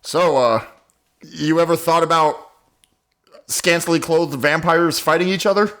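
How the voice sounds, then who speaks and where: Man as hesitant celebrity love interest, slightly high voice, awkward, improv radio comedy. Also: measured pace, fairly bright tone. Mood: awkward, earnest.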